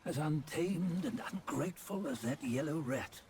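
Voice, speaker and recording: An actor voicing a stern, judgmental sage in a video game cutscene, speaking in measured, archaic, sententious phrases. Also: male voice, fairly high pitch, lively intonation, background noise, close to an everyday voice. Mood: disdainful. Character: stern, judgmental sage narrating old sins.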